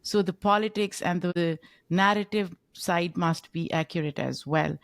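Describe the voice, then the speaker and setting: A woman host with a fairly low voice speaks in a measured way, laying out a point before asking her guest about it. The recording is dry, with no room sound. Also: no echo. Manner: measured, posing questions. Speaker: podcast host. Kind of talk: conversational interview.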